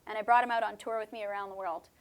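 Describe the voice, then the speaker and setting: A woman's voice: mid-range voice, fairly even intonation, rapid-fire. A woman from Canada's West Coast, a musician answering interview questions in a thoughtful, chatty way with many fillers. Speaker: musician guest. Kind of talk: relaxed conversational interview answers.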